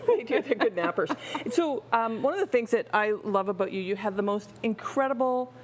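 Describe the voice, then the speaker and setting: A woman interviewing casually on a TV show, informal and quick to interject short reactions. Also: fairly high pitch, animated.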